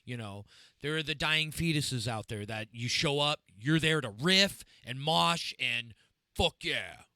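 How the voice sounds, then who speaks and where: A man's voice: mid-range voice, animated, unhurried, pushed and bright, no echo, studio quality. A man hosting a casual podcast, chatty and full of fillers, reading chat comments aloud. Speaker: podcast host.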